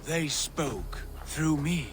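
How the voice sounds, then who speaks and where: An actor playing a proud, impatient Norse warrior lord in a video game, with an imperious, grandiose manner. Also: male voice, fairly high pitch, very slow, background noise. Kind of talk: video game cutscene acting.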